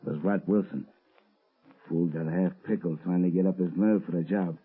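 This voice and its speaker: Tinny old radio recording, menacing male gangster, low voice, mocking slangy tough talk. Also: steady tone, normal speed, husky. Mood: gloating. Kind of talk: 1930s radio crime drama acting.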